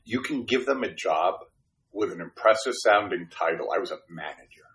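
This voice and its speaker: A man's voice: low-pitched, expressive, normal speed, a bit bright. A man in his fifties from Brooklyn, New York, whose first language was Spanish, telling his life story in a casual, self-deprecating interview. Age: 50s. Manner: self-deprecating, chatty, restarts and fillers. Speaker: podcast guest.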